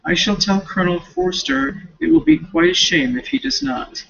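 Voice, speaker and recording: A man with a high, lively voice reads a novel aloud, dropping in casual asides. His delivery is expressive, with the odd stumble, and there is a creaky edge to it. The recording is dull and lo-fi. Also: normal speed, slight background noise. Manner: expressive, stumbling over words. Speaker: student.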